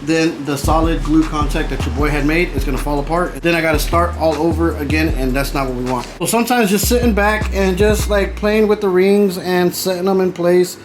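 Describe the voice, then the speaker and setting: A man's voice: fairly high pitch, little pitch movement, fairly quick, hardly any pauses, over music. A man hosting a casual DIY build video, talking informally and with slang to his viewers as he explains the work. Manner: informal and chatty, slangy, addresses viewers as guys. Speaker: DIY car audio builder and video host. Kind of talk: casual how-to vlog narration to viewers.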